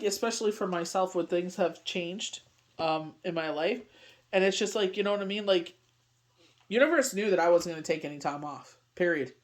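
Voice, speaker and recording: Middle-aged Canadian woman, chatty tarot reader, rambles and leans on fillers. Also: fairly low pitch. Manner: rambling, heavy on "you know what I mean". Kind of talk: casual daily tarot reading.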